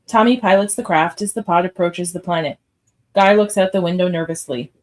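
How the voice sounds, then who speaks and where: A woman's voice: fairly low pitch, brisk. Woman narrating screenplay stage directions. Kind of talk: scripted narration in a live script read.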